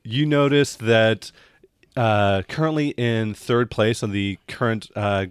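A man's voice: fairly low pitch, takes it slowly, clear, resonant tone, dry recording, studio quality. A man narrating a casual, improvised tabletop roleplaying game as game master.

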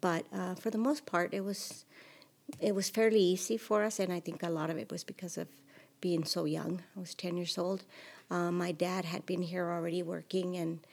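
Low-voiced woman, podcast guest. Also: flat delivery, normal speed, creaky, a little mellow. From Mexico. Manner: hesitant, many fillers. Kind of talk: casual interview conversation.

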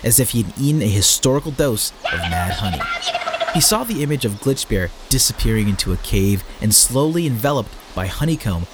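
A man's voice: mid-range voice, sing-song, brisk, heavy background noise. A man reading a scripted article aloud as a narrator, with a tongue-in-cheek manner.

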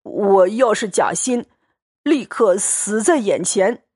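A woman voicing a drunk, boisterous young nobleman who fawns loudly and slurs. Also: mid-range voice, expressive, takes it slowly, husky, no room sound, clean studio sound. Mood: drunk, fawning. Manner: loud, fawning and slurring.

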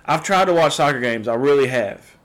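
A man's voice: mid-range voice, expressive, fairly quick. A young man co-hosting a casual podcast, with a dry, teasing and easygoing manner. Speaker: podcast co-host.

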